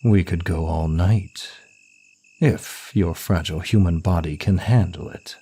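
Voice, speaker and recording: A very low-voiced, suave demon of lust, acted by a man for an ASMR roleplay. He teases smugly and draws out his words at a slow, deliberate pace, every line seductive. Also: warm tone, slightly rough, full character voice. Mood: amused, smug.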